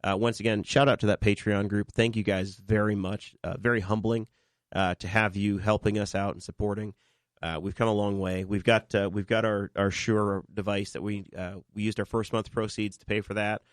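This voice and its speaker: A man's voice: on the low side, little pitch movement, moderate pace, no room sound, clean studio sound. A man hosting a local podcast in casual chat, talking through a cold and rambling with frequent restarts. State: fighting a cold. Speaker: podcast host.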